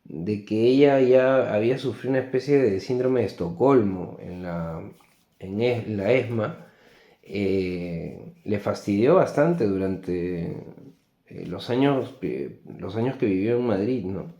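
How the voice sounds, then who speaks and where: A man with a Peruvian Spanish accent giving an unscripted book review in a reflective, halting way, often checking in with tag questions. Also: on the low side, animated, dark tone, muffled recording. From Peru. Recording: echoey, roomy sound.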